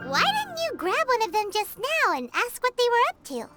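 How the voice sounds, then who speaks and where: A woman's voice: very high voice, wildly swooping pitch, normal speed, over loud music, exaggerated voice. A woman voicing a tiny, chirpy female fairy who serves as a guide companion. She speaks in a bubbly, childlike and inquisitive way. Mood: curious. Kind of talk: video game cutscene voice acting.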